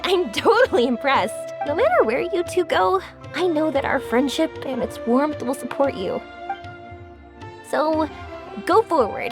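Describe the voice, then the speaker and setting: A woman playing a cheerful, sincere young woman in an anime video game, expressive and bubbly. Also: sits high. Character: cheerful, warm-hearted young woman fireworks maker. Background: music.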